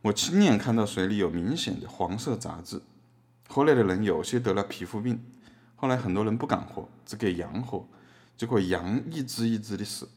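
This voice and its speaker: A man acting as a defiant activist artist defending himself in a podcast courtroom drama. His voice is on the low side, and he talks in a wry, conversational way, throwing out rhetorical questions. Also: expressive, normal speed, darkish tone, lightly acted. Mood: earnest recounting.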